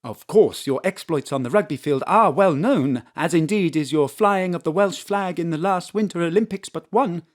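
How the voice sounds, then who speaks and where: An actress playing a brisk female city mayor who speaks formally and politely, then bluntly. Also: lowish voice, lightly acted. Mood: businesslike. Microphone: home studio mic.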